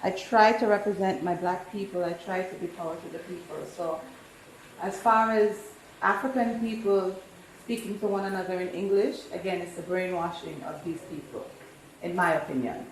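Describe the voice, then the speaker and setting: A woman's voice: on the low side, fairly even intonation, moderate pace, reverberant, a little hiss or hum, rough recording. A woman with a New York and Jamaican accent, chatting opinionatedly and laughing easily while she cooks in a rental kitchen. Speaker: cooking competitor. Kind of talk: casual chat while cooking.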